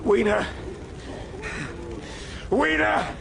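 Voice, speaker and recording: A man's voice: very high voice, very slow, gravelly, background noise, exaggerated voice. A man playing a desperate time traveler fighting for his life in a radio drama, speaking emotionally. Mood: desperate panic. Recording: drama dialogue under loud ambient effects.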